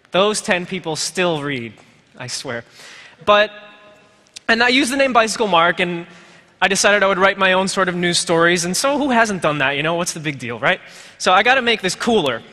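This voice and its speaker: Man in his twenties, fairly high, forceful bright voice, joking and rambling talk, reverberant lecture hall. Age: 20s. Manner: rambling, wry, full of fillers and asides. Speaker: conference speaker. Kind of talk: self-deprecating joking talk.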